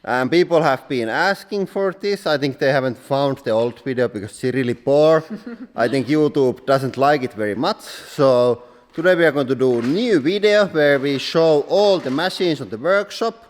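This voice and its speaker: Finnish-accented man, non-native English, halting and casual; echoey hall with long reverb. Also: mid-range voice, animated. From Finland. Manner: plain halting English with many "like" fillers. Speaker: workshop owner and channel host. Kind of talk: casual workshop tour. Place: large industrial workshop hall.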